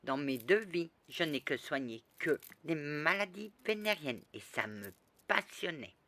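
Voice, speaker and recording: A woman telling a rambling, lively story in a casual spoken way, full of restarts and asides. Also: deep voice, measured pace. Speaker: storyteller recounting past lives.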